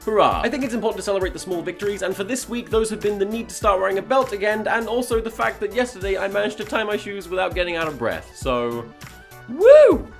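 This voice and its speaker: A man's voice: sits high, sing-song, fast. Self-deprecating man with music behind him. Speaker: video creator documenting his weight loss. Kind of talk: confessional vlog, partly scripted narration.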